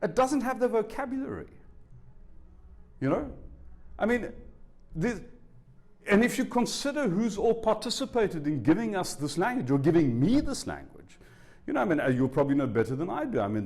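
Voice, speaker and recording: Animated man in his sixties. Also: high voice. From South Africa. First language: Afrikaans. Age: 60s. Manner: animated, conversational, asks the audience rhetorical questions. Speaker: spiritual teacher giving a lecture. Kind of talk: public talk to a live audience. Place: university lecture room.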